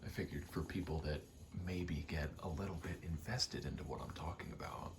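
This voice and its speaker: A man in his twenties doing a casual ASMR gaming ramble, soft-spoken and often whispering, in a halting, meandering way. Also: very deep voice. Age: 20s. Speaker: ASMR gaming video host. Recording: faint noise, game button clicks. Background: controller clicking, faint background noise.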